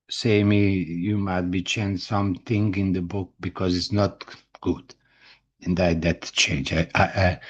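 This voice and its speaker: A middle-aged man with a Croatian accent, a native Croatian speaker, talking to camera about his writing in halting, broken English full of restarts and repeated words. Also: sits low, a little mellow, slightly rough, muffled recording. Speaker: indie author and book vlogger. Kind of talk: nervous personal talk to camera.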